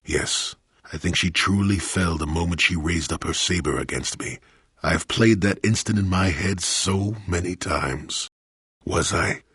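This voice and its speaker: An actor playing a gruff, rueful old former Jedi in a video game, an elderly storyteller with a gravelly voice and a wry, rambling, dramatic way of talking. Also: male voice, deep voice, fairly bright tone, dry recording, studio quality, full character voice. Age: older. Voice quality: gravelly, husky. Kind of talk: scripted video game voice acting.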